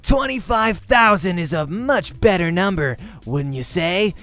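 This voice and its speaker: A man's voice: sits high, animated, measured pace, a bit bright, soft background music, pitched above the performer's own voice. A man voicing a cocky teenage boy gamer in a visual novel, with a smug, taunting, slangy manner.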